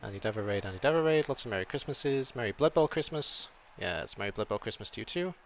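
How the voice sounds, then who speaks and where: Young Welsh-born British man, low gravelly voice, chatty game streamer thinking aloud, faint hiss behind. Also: lively intonation, fast talker. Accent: British with a slight European tilt. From Wales. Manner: chatty, reads chat aloud, thinks out loud. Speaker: streamer playing a video game. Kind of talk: casual live-stream commentary.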